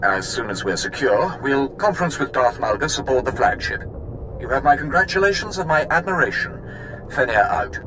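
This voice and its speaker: A man's voice: medium pitch, expressive, normal speed, background noise, lightly acted. Man as formal, deferential military officer. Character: deferential, brisk Imperial fleet officer man. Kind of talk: video game voice acting.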